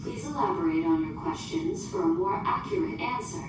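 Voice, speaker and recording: Echoing, high-voiced female ship computer. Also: huge pitch swings, exaggerated voice. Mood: flat, compliant. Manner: measured, stilted, precise official phrasing. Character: female ship computer, literal, formal, unflappable and emotionless. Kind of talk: science fiction audio drama. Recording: echoing, distant, noisy ship ambience.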